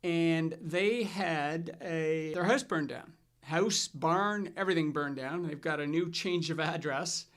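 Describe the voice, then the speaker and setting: A middle-aged man hosting a financial advice show, telling stories in a relaxed way with fillers and restarts. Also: on the high side, slow pace. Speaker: financial advisor and show host. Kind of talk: casual scripted chat.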